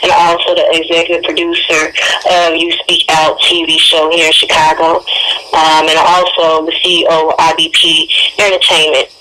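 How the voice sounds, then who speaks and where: A woman gives interview answers over a telephone. Her voice is bright and stays fairly flat in pitch. Faint noise runs along the call. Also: normal speed, poor audio. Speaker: interview guest. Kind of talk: interview answers about own career.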